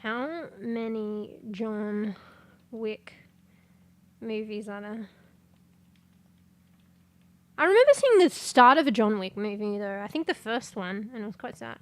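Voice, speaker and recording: A woman's voice: on the high side, lively intonation, slow, pauses often. Congested woman streamer, chatty. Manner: rambling, casual swearing, sudden loud exclamations. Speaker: livestreamer. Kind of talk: casual stream chat, reading aloud.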